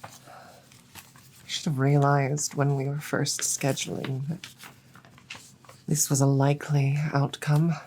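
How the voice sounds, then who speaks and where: A woman voicing an apologetic, self-blaming dragon girlfriend in a roleplay audio drama, speaking softly, tenderly and haltingly. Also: very deep voice, little pitch movement, slight background noise. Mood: guilty, apologetic.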